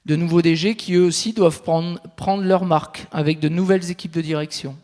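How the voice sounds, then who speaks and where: Man, fairly high voice, conference presentation, explanatory step-by-step delivery, long hall reverb. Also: steady tone, brisk, darkish tone. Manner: explanatory, enumerating points step by step. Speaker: territorial consultant presenting at a conference. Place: large reverberant conference venue.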